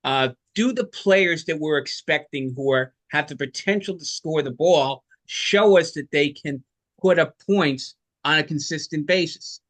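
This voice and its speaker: A man's voice: on the high side, unhurried, a bit bright. A man co-hosting a sports podcast, giving conversational basketball analysis in an emphatic, explanatory way.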